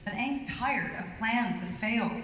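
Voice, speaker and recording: A woman's voice: a little high, measured pace, a bit bright. A woman reading scripted stage directions as narrator at a live table read. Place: large reverberant convention hall. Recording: distant, echoey hall, faint noise.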